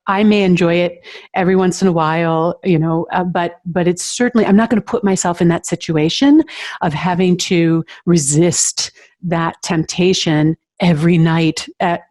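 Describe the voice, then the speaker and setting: A woman's voice: lowish voice, a little mellow. A middle-aged woman, a nutrition coach, talking as a podcast guest in a thoughtful, reflective way with frequent hesitations. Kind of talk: casual interview chat.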